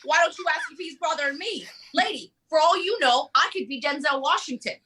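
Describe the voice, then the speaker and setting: A woman playing a brash, boastful, streetwise male carjacker in a comic table read, loud, swaggering and profane. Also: sits high, animated, brisk. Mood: indignant.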